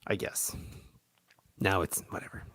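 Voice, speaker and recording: A man's voice: unhurried, fairly bright tone, no echo. A man co-hosting a podcast in casual chat, trading wry jokes and banter. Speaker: podcast co-host.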